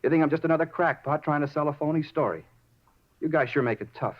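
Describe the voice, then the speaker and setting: An actor playing an unhinged, self-justifying murder suspect in a 1950s radio drama, rambling over small details and repeating himself. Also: male voice, on the high side, fast, close to an everyday voice. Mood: hurt, pleading. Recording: muffled 1950s radio recording.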